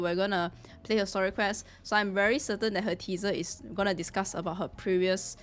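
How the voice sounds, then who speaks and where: A woman live-streamer chatting casually with her viewers, greeting them in a chatty way. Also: mid-range voice. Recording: music playing behind the voice. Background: background music.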